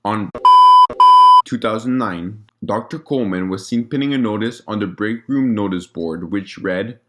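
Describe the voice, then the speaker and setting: A man with a fairly low voice reads out a written log. His delivery is formal and report-like, as scripted narration of official records. Now and then he trips slightly on a word. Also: moderate pace, fairly bright tone.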